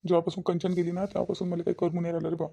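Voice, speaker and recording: A lovesick young cartoon husband played by a man, with a high, mellow voice and a creaky edge. He speaks softly and dutifully in a Varhadi Marathi accent, repeating himself as he goes. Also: flat delivery, racing. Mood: glum. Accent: Varhadi Marathi from Vidarbha. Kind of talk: cartoon family drama dialogue.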